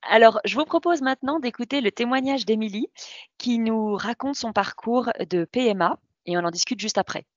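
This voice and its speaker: A woman hosting a podcast and asking measured, scripted interview questions. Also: a little high, no echo. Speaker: podcast host. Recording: dull lo-fi sound, very dry.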